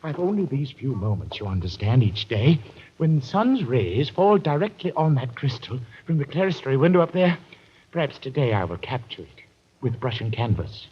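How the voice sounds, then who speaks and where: A man's voice: mid-range voice, very animated, normal speed, background noise, close to an everyday voice. An actor playing an agitated, eccentric male painter with a fussy, theatrical manner in 1940s radio style. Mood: frantic. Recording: dull vintage radio recording.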